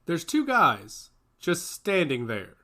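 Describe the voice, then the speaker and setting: A man's voice: fairly high pitch, huge pitch swings, pushed and bright, heavily acted. A man doing a hesitant surveillance tech. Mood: uneasy. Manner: hesitant, slow. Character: tense young male surveillance operator.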